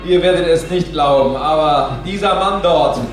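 A man's voice: a little high, normal speed, lightly acted. Radio drama orator, male actor: man proclaiming to a gathering, very reverberant, music behind. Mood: mocking proclamation. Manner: declamatory, addressing a gathering. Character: loud, declamatory male public orator in a bar.

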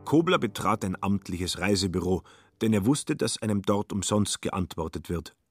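A man with a husky voice reading scripted literary narration in a radio play. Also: lowish voice, lively intonation, normal speed, faint music behind.

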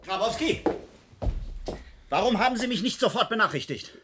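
An actor playing an angry, suspicious and domineering superior in a radio drama, curt and accusatory. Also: male voice, high voice, expressive, normal speed, background noise. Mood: angry, threatening.

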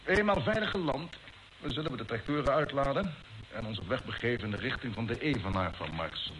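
A man plays an assured expedition commander in a vintage radio play. His medium-pitched voice moves animatedly as he explains things formally. The old recording carries clear noise. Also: expressive, a little fast, fairly bright tone, lightly acted, low-quality recording. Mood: composed, assured. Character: composed male space expedition commander briefing reporters. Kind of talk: old radio drama acting.

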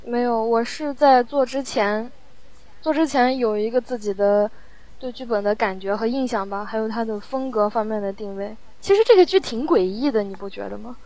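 Woman, fairly high voice, casual chat, reflective, halting phrasing. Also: warm tone, no room sound. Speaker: audio drama sound producer, interview guest.

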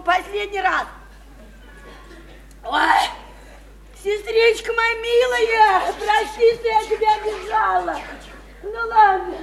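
An actress playing a brash, drunken sister in a radio play, coarse, loud and sentimental with a wailing delivery. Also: female voice, very high-pitched, a little hiss or hum, exaggerated voice. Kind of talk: theatrical Russian radio play acting.

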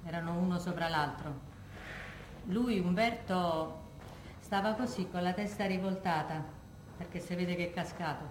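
A woman's voice: on the low side, moderate pace, natural voice. Female, Roman accent actress: raw, haunted widow of the people, colloquial stage monologue, roomy and noisy recording. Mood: subdued grief. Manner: colloquial, emphatic, rhetorical questions. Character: working-class Roman widow, raw, haunted by wartime massacre. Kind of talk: theatrical testimony monologue. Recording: echoey room with background noise.

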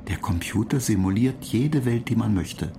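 An actor playing a courteous onboard computer in a radio drama, explaining in a measured, matter-of-fact way. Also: male voice, on the low side, animated, far from the mic, noisy background.

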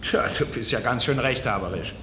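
A man's voice: medium pitch, brisk, pushed and bright, lightly acted. A man acting a flippant, teasing laboratory chemist in a German radio drama. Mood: wry. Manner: wry, teasing. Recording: echoing room, faint noise.

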